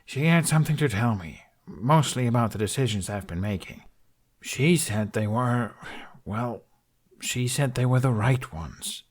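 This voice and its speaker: A man playing an awkward, earnest young dragon rider in an audiobook, halting and self-justifying. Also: medium pitch, expressive, pitched above the performer's own voice. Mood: hesitant.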